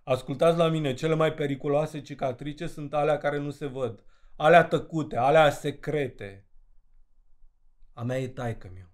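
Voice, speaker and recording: A man's voice: on the high side, natural voice. An actor playing a troubled grown son, a young man confiding in a bitter, wry, conversational way in an audio drama.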